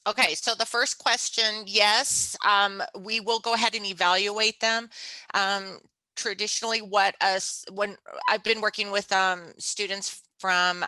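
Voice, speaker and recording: A woman's voice: on the low side, bright, forceful tone, dry recording, rough recording. A middle-aged woman from university admissions answering questions in a webinar, chatty with many fillers and restarts.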